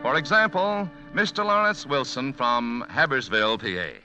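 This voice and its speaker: A man's voice: medium pitch, normal speed, bright, forceful tone. A man announcing and narrating a comedy in the scripted style of 1940s radio. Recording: dull, lo-fi vintage radio recording. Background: music.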